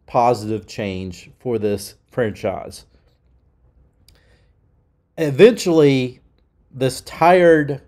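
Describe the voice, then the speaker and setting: A man's voice: medium pitch, a little mellow. A middle-aged man hosting his own channel, ranting with heat and emphasis, sounding nasal from being sick. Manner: heated, emphatic. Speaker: channel host. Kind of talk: opinion rant.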